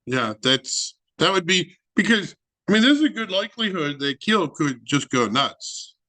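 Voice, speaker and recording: A man's voice: on the high side, sing-song, a little slow, sharp tone, no room sound, poor audio. A man co-hosting an investing chat, offering advice in a hesitant way with many fillers.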